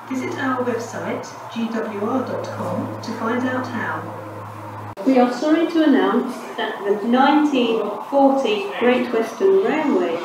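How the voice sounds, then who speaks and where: Echoey automated British woman station announcer. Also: on the high side. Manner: formal, segmented, pieced-together delivery. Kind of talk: scripted public-address announcement. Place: railway station announcement system. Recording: echoey station PA, distant, noisy.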